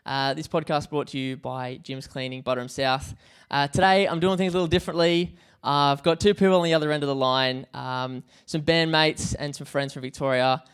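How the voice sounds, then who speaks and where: A man's voice: a little high, fairly even intonation, fairly quick, few pauses, a bit bright, smooth. A man with an Australian accent hosting a casual interview, phrasing his questions informally and a little hesitantly. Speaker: podcast host.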